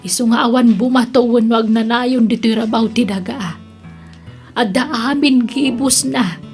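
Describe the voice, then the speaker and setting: A woman playing a devout, gentle older mother in an Ilocano audio drama, with a solemn, counselling manner. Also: fairly high pitch, fairly even intonation, normal speed, natural voice. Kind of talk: Ilocano radio-style drama acting. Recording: music under the voice.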